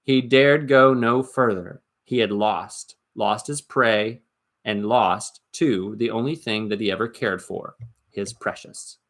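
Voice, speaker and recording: A man reading a story aloud as dramatic narration, expressive and suspenseful, with deliberate emphasis. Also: on the low side. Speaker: storybook reader.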